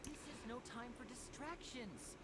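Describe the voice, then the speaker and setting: A woman plays a confident, boastful magician from a game. The voice is high and animated, showy and coaxing, heard through loud background noise. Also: normal speed, close to an everyday voice. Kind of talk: theatrical game voice acting.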